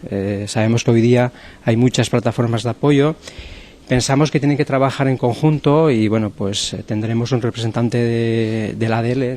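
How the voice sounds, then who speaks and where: Spanish man presenting in halting phrases. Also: mid-range voice, a little mellow. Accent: Spain. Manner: hesitant, halting delivery with repeated phrases. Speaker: university event coordinator. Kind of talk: spoken presentation of an event programme.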